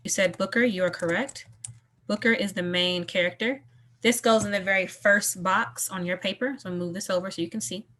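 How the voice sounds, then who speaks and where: A woman teacher guiding a lesson step by step, deliberately repeating her questions and instructions. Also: medium pitch, fairly even intonation, a bit bright. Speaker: school teacher.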